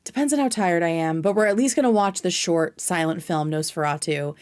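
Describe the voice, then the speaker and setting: A woman's voice: lowish voice, a little fast, clean tone. Casual, scattered woman hosting a livestream. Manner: informal, scattered, swears freely. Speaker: livestream host.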